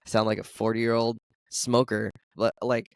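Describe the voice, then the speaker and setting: A man in his forties hosting a casual podcast chat, his voice hoarse from coughing, joking and laughing often. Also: fairly low pitch, little pitch movement, normal speed, dry recording, clean studio sound. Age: 40s. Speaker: podcast host.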